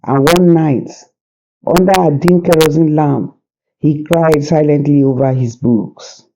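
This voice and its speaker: A storyteller narrating a folktale in a slow, deliberate, suspenseful and dramatic way. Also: medium pitch, steady tone, dark tone, low-quality recording. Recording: hissy background noise.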